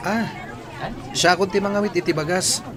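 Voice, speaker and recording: A man's voice: sits high, steady tone, normal speed, a crowd in the background, lightly acted. A man playing a polite, helpful young factory worker in a radio drama, with an Ilocano accent. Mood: polite, modest.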